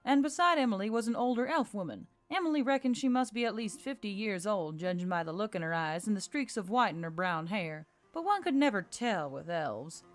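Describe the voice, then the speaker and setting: A woman's voice: medium pitch, expressive, fairly quick, few pauses. A woman reading scripted fantasy fiction as an audiobook narrator. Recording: music bed under the voice.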